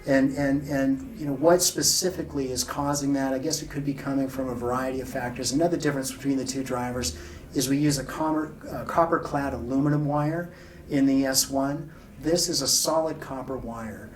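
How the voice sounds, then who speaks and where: A man being interviewed at a trade show, explaining technical details in a measured, informal way. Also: medium pitch, steady tone, recorded from a distance. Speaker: loudspeaker company founder being interviewed. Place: exhibit room at an audio show. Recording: off-mic, noisy exhibit room.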